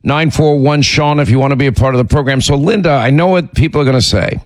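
A male radio talk show host with a hoarse, raspy voice from laryngitis, who keeps clearing his throat. He talks in a rambling, indignant, casual way. The studio sound is dry and close. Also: medium pitch, fast, no room sound. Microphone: studio microphone.

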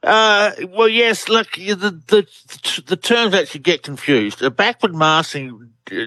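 A man's voice: high voice, measured pace, bright, forceful tone, dry recording, low-quality recording. Australian man in his seventies, enthusiastic. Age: 70s. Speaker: researcher and interview guest. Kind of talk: casual radio interview.

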